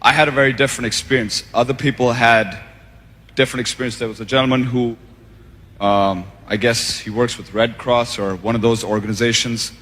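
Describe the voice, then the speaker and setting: A man from Pakistan answering on stage at a seminar, hesitant with pauses and fillers. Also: medium pitch, steady tone, a bit bright. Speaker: seminar participant. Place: large seminar hall. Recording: reverberant hall.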